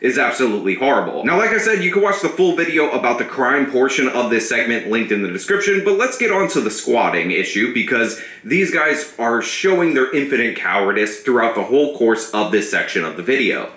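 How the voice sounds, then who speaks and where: A man hosting scripted political commentary, sharp and scornful as he argues. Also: mid-range voice, big pitch swings, brisk, hardly any pauses, roomy sound. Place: small room with a brick wall. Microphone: studio mic on a stand.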